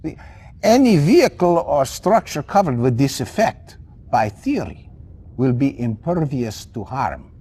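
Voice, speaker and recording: An actor playing an excitable, distracted elderly male scientist who talks in halting, rambling bursts in a video game cutscene. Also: mid-range voice, breathy edge. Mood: excited.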